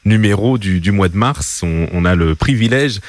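A male radio host puts polite questions to his guest and introduces the segment. His voice is low-pitched, and he stumbles lightly over his openings. The recording is dry and close-miked. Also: animated, no room sound. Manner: polite, slightly halting introductions. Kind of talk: radio interview questions and plugs.

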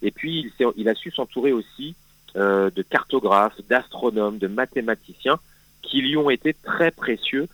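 A man's voice: medium pitch, normal speed, no room sound, lo-fi sound. A man explaining history in a radio interview, hesitant, with many fillers and restarts. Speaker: magazine guest historian.

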